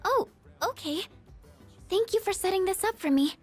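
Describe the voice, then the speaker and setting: A woman voicing a shy, gentle teenage girl in a game, speaking politely. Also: very high voice, expressive, normal speed, faint music behind. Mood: polite, curious. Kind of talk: game voice acting.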